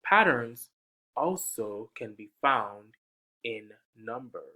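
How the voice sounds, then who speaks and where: Man reading slowly to young children. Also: mid-range voice, lively intonation, sharp tone, rough recording. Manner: slow, sing-song, coaxing questions to young listeners. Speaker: teacher reading aloud. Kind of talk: children's picture book read-aloud.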